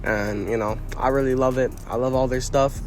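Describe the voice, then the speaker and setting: A man's voice: mid-range voice, steady tone, moderate pace, faint music behind. A young man from Quebec, a musician being interviewed, talking casually with many fillers and restarts. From Quebec, Canada. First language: French. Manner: rambling, lots of fillers and restarts, slangy.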